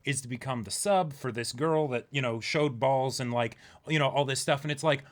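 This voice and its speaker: A man's voice: mid-range voice, normal speed. A man co-hosting a podcast, chatting casually in an ordinary mid-range voice. He rambles with eager energy and leans on "like" as he talks. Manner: enthusiastic, rambling, many "like" fillers. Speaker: podcast co-host. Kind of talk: casual chat.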